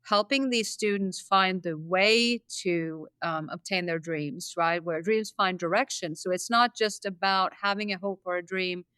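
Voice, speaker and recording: A man in his fifties from Norway, a college dean, speaking in a measured way with dry humor in a casual interview. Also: sits high, pushed and bright, clean tone, no room sound. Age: 50s. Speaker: dean of academics.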